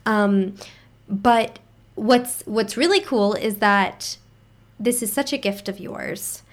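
Woman host, medium pitch, chatty and affirming, casual interview. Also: takes it slowly. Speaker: podcast host.

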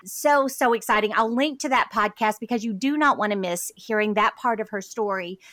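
A woman's voice: medium pitch, brisk, fairly bright tone, dry recording. A woman in her forties hosting a faith podcast, earnest and enthusiastic, with fillers and restarts as she talks. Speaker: podcast host. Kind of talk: conversational interview and sponsor read.

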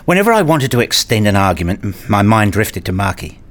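A man's voice: lowish voice, big pitch swings, fast talker. A man acting a frustrated, restless academic who narrates his dreary days in a radio play, wry and grumbling. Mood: glum, matter-of-fact. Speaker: narrator and lead character. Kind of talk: first-person radio play narration and dialogue.

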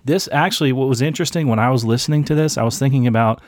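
A man's voice: mid-range voice, very fast, darkish tone, dry recording, studio quality. A man hosting an aviation podcast, chatting casually and giving encouraging advice with frequent restarts. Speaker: podcast host.

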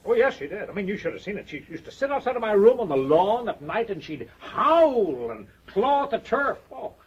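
Sardonic middle-aged husband, high animated voice, needled, dry warnings, muffled stage recording. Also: male voice, brisk, breathy edge, full character voice. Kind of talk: stage drama acting.

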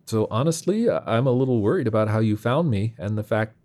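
A man voicing an anxious, excitable young man who blurts incredulous questions and stammers. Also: on the low side, fairly quick, natural voice. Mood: worried questioning.

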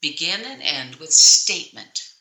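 A woman with a low speaking voice that still cuts through brightly. She coaches on public speaking in an emphatic, deliberate way, leaning on pauses and changes of tone. The recording has an echoey room around her. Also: sing-song. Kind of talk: interview answers, instructive.

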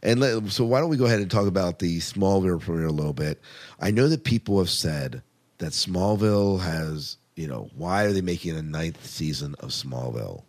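A man's voice: deep voice, expressive, normal speed. A man co-hosting a podcast, casually reviewing TV in an enthusiastic, conversational way and repeating phrases for emphasis. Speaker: podcast co-host.

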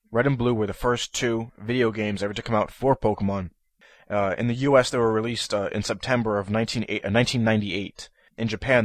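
A man's voice: fairly low pitch, no room sound. A man hosting a podcast episode on his own, sounding nasal from a stuffy head cold, eager and prone to ums and restarts. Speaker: podcast host. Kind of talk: solo podcast talk.

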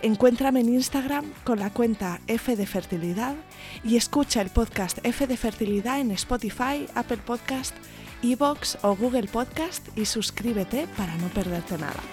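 A woman's voice: fairly high pitch, moderate pace, a little mellow, faint music behind. A woman hosting a podcast, reading a scripted intro and then asking her guests questions in a friendly, enthusiastic way. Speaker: podcast host.

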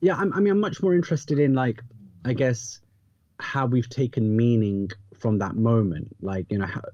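A man's voice: mid-range voice, moderate pace, warm tone, no room sound, highs cut off. A man in his thirties talking reflectively on a podcast, hesitant and rephrasing himself as he thinks.

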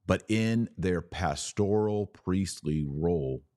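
A man with a very low, deep voice gives religious instruction in a close, dry recording. He is deliberate and didactic, setting phrases apart with emphatic pauses as he lectures like a podcast teacher. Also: mellow, no echo.

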